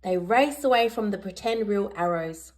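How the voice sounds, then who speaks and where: A woman narrating a children's picture book as an educator, in a deliberate, expressive storytelling manner. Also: mid-range voice. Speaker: educator reading picture books aloud.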